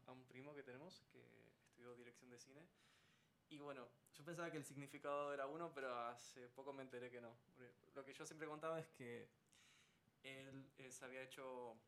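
Gravelly-voiced male band musician. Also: medium pitch, a bit bright, a little hiss or hum. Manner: storytelling with laughing asides. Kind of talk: casual interview talk. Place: radio studio.

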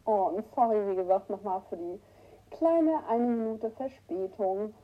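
A woman with a cold hosting a casual morning livestream, greeting her viewers by name and clearing her throat often. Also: mid-range voice, unhurried, narrow, tinny audio. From northern Germany. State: has a cold, hoarse at times. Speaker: livestream host. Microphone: new microphone that is not working right.

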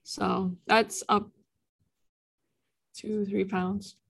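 A woman's voice: mid-range voice, flat delivery, extremely slow, vocal fry, a bit bright. A woman in her thirties hosting a casual faith podcast, chatty, laughing often and saying "like" a lot. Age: 30s. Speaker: podcast host. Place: in a room with a co-host, in person.

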